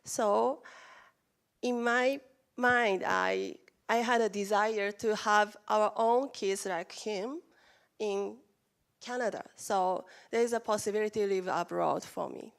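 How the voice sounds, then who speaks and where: Slow, careful Japanese-accented woman speaker. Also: mid-range voice, expressive, vocal fry. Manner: halting, careful non-native phrasing with restarts. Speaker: conference speaker. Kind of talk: presentation talk. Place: conference room.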